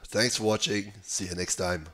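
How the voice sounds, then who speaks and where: A young man with a raspy voice, thinking aloud and muttering as he works through a crossword in a small room, with keyboard clicks behind him. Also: on the low side, steady tone, moderate pace, loud music behind the voice. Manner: mutters, hesitates, trails off while thinking. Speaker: puzzle video host. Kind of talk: casual think-aloud while solving a crossword.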